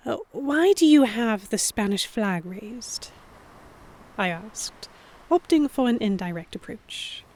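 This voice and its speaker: Woman as young noblewoman, first-person audio drama narration, anxious, introspective, tentative, medium pitch. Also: a little mellow, close to an everyday voice. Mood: tentative, awkward. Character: anxious young noblewoman heroine narrating her captivity among pirates.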